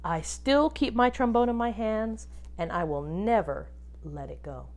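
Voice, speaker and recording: A woman reading a children's book aloud expressively, like a teacher, pausing to instruct and put questions to young listeners. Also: mid-range voice, lively intonation, darkish tone. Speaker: music teacher reading a picture book to pupils.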